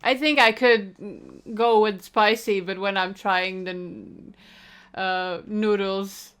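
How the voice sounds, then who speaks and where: A woman's voice: mid-range voice, fairly even intonation, slow, fairly bright tone, smooth. A woman hosting a reaction video, commenting casually and unscripted, in a hesitant, giggly way.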